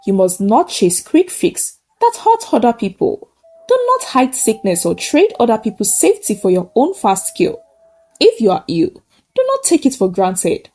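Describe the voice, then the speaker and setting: A woman's voice: fairly high pitch, mellow. A woman narrates a folktale in short, simple sentences, solemn and emphatic. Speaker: storyteller. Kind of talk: dramatic folktale narration with a closing moral.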